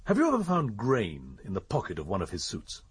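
An actor playing a steady, probing police inspector in a radio drama, with an English accent and a measured, matter-of-fact way of questioning. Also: male voice, lowish voice, breathy edge, no room sound, full character voice. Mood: patient, businesslike.

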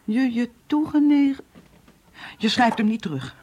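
A woman's voice: on the high side, very animated, a little slow, warm tone, a little hiss or hum. A woman playing a strict, secretive middle-aged mother in a radio play, speaking in a stern, dismissive way. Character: strict, secretive, forbidding mother of an adolescent girl. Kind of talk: radio drama acting.

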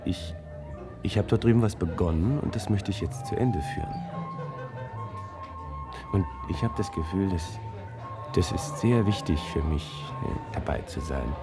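Nachdenklicher Mann mit tiefer Stimme. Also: male voice, low voice, measured pace, pauses often, over loud music. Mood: hesitant, resigned. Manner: hesitant, reflective, searching for words. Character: earnest, thoughtful male researcher Neil, quietly drawn to outsiders. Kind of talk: German science-fiction radio play acting.

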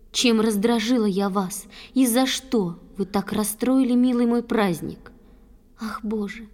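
A woman playing an earnest, innocent young water nymph in a verse audio play, with soft, pleading delivery. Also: a little high, natural voice. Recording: long hall-like reverb.

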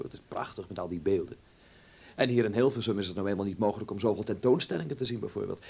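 An actor playing a cheeky, defiant young would-be film actor in a radio drama, with a flippant, sardonic manner. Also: male voice, fairly low pitch, vocal fry, darkish tone, slightly rough. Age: young adult. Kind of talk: Dutch radio drama acting.

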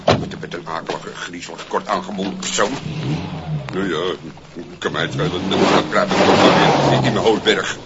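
An actor playing a scruffy, good-natured, cheeky vagrant who exclaims and gets flustered, in a 1960s radio play. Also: male voice, sits low, normal speed. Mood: startled, flustered. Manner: stammering when flustered, exclaiming, talking to himself. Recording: muffled, noisy 1960s vinyl single recording.

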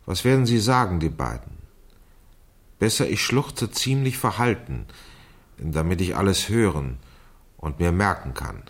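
A sharp wry investigator type in an old radio mystery, lowish husky voice, very animated. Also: male voice, a little slow, long pauses, heavily acted. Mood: wry, reading out. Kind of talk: 1970s German radio crime drama acting.

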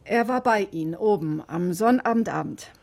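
A woman's voice: mid-range voice, lively intonation, vocal fry, a little mellow. An actress playing a composed, probing woman in a naturalistic radio drama, speaking politely and persistently. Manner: polite, measured, persistent questioning. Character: composed, probing woman questioning an old man.

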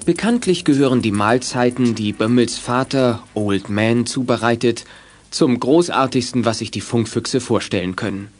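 A man narrating a scripted children's radio play in a clearly phrased storytelling manner. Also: mid-range voice, moderate pace.

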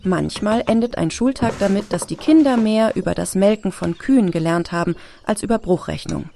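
A woman's voice: mid-range voice, moderate pace, vocal fry, warm tone, slight background noise, lightly acted. A woman playing an earnest, idealistic farm teacher in an audio drama. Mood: earnest, explaining. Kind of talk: German audio drama dialogue.

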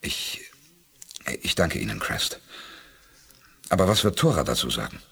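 A man's voice: rumbling low, very animated, unhurried, bright, forceful tone, slightly rough, heavily acted. An actor playing a sober, sceptical spaceman hero in a 1980s German sci-fi radio play, thoughtful and questioning. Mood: moved, doubtful.

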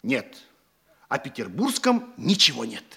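An actor playing a nosy, gossipy town postmaster who reads people's letters, in a husky voice in a stage radio play. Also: male voice, medium pitch, wildly swooping pitch, measured pace, pushed and bright, full character voice.

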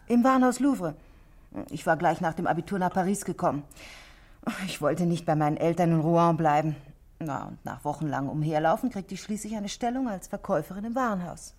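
Actress as low-pitched, guarded, self-possessed shop manageress. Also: female voice, brisk, a little mellow, close to an everyday voice. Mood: composed, recollecting. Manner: precise, composed, defensive. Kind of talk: 1960s German radio drama acting.

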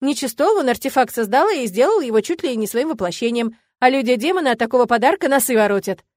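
Audiobook role: female reader as bossy, loyal young witch friend, high-pitched, indignant, punchy delivery. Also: expressive, brisk, no room sound, studio quality. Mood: emphatic. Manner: emphatic, combative. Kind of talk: dramatised audiobook.

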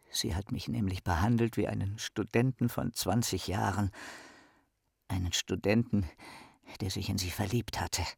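An actor playing a lonely, tormented, bitter philosopher in a radio drama, with theatrical, declamatory delivery. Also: male voice, gravelly, slightly rough, dry recording, clean studio sound, heavily acted. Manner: theatrical, declamatory, swinging from muttering to shrill outbursts. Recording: music behind the voice.

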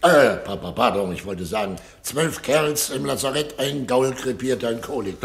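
A man's voice: mid-range voice, expressive, moderate pace, vocal fry. An actor playing a flustered old army general who stammers and defers to his ruler in a radio drama. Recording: roomy studio echo.

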